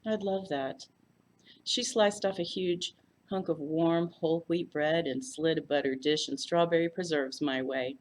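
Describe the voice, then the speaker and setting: A woman's voice: deep voice, fairly even intonation, normal speed, a little hiss or hum. A woman reading a first-person mystery story aloud as a volunteer narrator. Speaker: volunteer audiobook reader.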